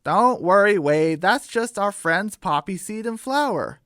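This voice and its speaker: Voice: mid-range voice, expressive, a little slow, fairly bright tone, no room sound, clean studio sound, pitched above the performer's own voice. A narrator voicing a hospitable, reassuring male farm pig in a children's radio drama. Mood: friendly, reassuring. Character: friendly welcoming male farm pig host.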